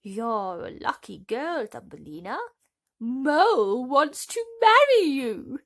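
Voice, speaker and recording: A woman's voice: high-pitched, very animated, slow pace, voice pushed up, exaggerated voice. A woman voicing a motherly, bossy female field mouse with a fussy, insistent manner for a storybook. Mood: excited.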